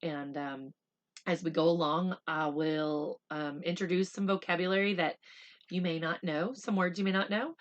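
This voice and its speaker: A woman reading a picture book aloud like a teacher, slow and expressive in the story, chattier when she stops to explain words simply for children. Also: fairly low pitch, lo-fi sound. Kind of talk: read-aloud storytelling with casual explanatory asides.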